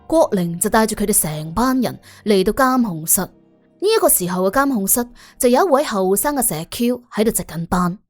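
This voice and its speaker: 一個女人用粵語演繹有聲偵探小說，照稿講故事，做旁白。. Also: female voice, mid-range voice, animated, normal speed, faint music behind. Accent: Cantonese. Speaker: audiobook narrator. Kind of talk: expressive scripted detective-story narration.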